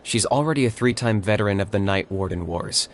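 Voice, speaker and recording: A man voicing a sensible, caring young male warrior in a video game, measured and matter-of-fact. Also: lowish voice, expressive, a little hiss or hum. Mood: dry, observant. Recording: music behind the voice. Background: music.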